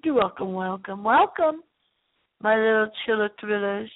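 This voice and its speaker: A woman's voice: mid-range voice, lively intonation, unhurried. A woman hosting a late-night spooky internet radio show, chatting live in a rambling, campy, theatrical way. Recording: tinny, phone-like internet radio line.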